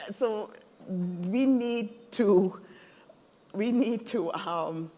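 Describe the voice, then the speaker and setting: A woman's voice: fairly low pitch, mellow. A woman speaking earnestly on a webinar panel, building her points in emphatic lists.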